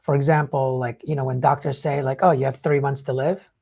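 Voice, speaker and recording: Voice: mid-range voice, flat delivery, brisk, creaky, a little mellow, husky, highs cut off. A podcast host talking casually and emphatically in a rambling way, swearing freely while explaining ideas. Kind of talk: casual talk explaining book takeaways.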